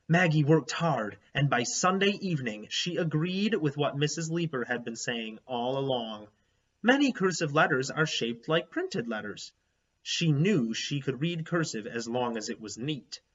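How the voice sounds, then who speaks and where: A man's voice: mid-range voice, fairly bright tone, slightly rough. A man reading a children's book aloud like a teacher, expressively and with explanatory asides. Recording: slightly dull, lo-fi sound.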